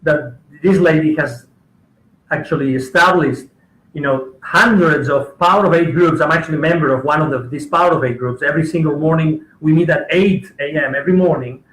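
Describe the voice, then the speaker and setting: A man's voice: a little high, a little fast, fairly bright tone. A man speaking as a coach, enthusiastic and emphatic, repeating his key points. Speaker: guest coach. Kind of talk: casual conversation. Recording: echoey room.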